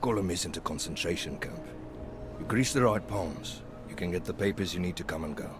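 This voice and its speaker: A man's voice: deep voice, brisk, over music, lightly acted. An actor playing a crude, joshing male pilot with a laid-back manner. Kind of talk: video game dialogue.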